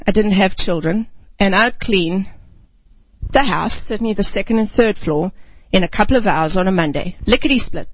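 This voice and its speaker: A woman ministry speaker, raised outside the United States, gives a reflective devotional talk and reads scripture, often clearing her throat. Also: mid-range voice, dry recording, poor audio.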